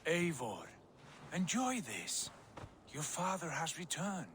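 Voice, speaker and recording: High, boisterous Norse god. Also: male voice, very animated, slow, a bit bright, slight background noise, heavily acted. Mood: imperious. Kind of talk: dramatic game cutscene acting.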